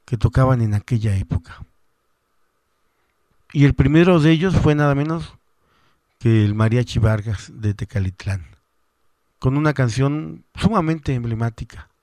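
A man's voice: medium pitch, a little mellow, dry recording, studio quality. Man hosting a music radio show. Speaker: radio music program host. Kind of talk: spoken introduction between songs.

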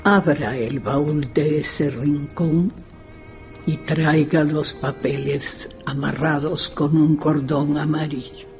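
An actress playing a frail, imperious old woman who gives halting orders in a radio drama. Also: sits low, fairly even intonation, a little mellow, soft background music. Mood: commanding, brittle. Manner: slow, halting, commanding. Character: frail old widow, imperious, bitter, living in darkness.

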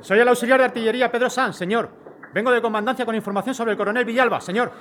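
A man's voice: very high-pitched, racing, fairly bright tone, a little hiss or hum. A man playing a deferential young artillery auxiliary, reporting in clipped military fashion in an audio drama. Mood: urgent, deferential.

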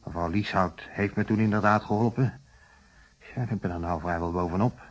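A man's voice: deep voice, fairly quick. An actor playing a cornered, defensive man under questioning in a radio play, apologetic and forever justifying himself. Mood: sheepish. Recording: muffled vintage radio play recording.

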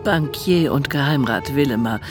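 A woman performing as the literary narrator of a historical radio play, telling the story in measured scripted prose. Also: a bass voice, animated. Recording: music under the voice.

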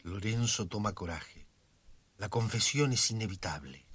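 A man's voice: lowish voice, expressive, a little slow, fairly bright tone, breathy edge. A man with a Buenos Aires accent delivering scripted, dramatic narration for a radio serial. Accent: Argentine, Buenos Aires. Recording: music behind the voice.

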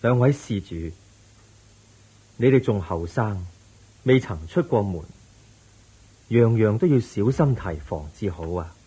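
A gentle, instructive Buddha in a radio drama. His Hong Kong Cantonese is low and unhurried, very husky, with a wide rise and fall. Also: animated, full character voice, rough recording. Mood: solemn teaching. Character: the Buddha, a calm, patient male spiritual teacher.

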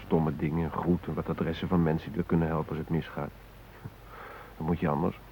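An actor playing an anxious but resigned hostage in a radio drama, reflective and hesitant, with wry fatalism. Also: male voice, rumbling low, level tone, brisk. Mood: grave, resigned. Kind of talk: naturalistic radio drama acting. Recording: noisy, narrow-band old radio recording.

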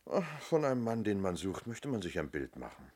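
An actor playing a courteous but persistent police inspector in a German radio play, questioning in a measured, official manner. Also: male voice, on the low side, big pitch swings, darkish tone. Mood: courteous, probing.

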